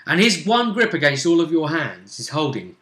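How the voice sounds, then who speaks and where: A man chatting casually as a game master, retelling a tabletop role-play session in an animated, emphatic way. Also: on the high side, normal speed. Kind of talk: casual storytelling chat. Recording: roomy, echoing home recording.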